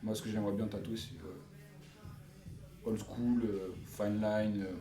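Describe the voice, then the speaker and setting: A man's voice: lowish voice, big pitch swings, slow, warm tone, roomy sound, faint music behind. A man who works as a tattoo artist, talking casually and loosely, rambling and checking in with the listener often. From Montreuil. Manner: loose, rambling, frequent "tu vois". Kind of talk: casual podcast chat.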